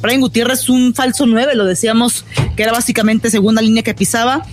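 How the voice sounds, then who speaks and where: A woman's voice: a little high, fairly even intonation, fairly quick, faint music behind. A woman giving football match analysis as a sports analyst, in emphatic declarative phrases.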